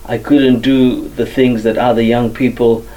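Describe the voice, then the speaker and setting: A man from Zimbabwe tells his story as a guest, pausing often and filling gaps with ums. His voice is mellow and dark. He sits a little back from the microphone. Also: mid-range voice, level tone, recorded from a distance, lo-fi sound. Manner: many fillers, soft-spoken. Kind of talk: hesitant personal testimony.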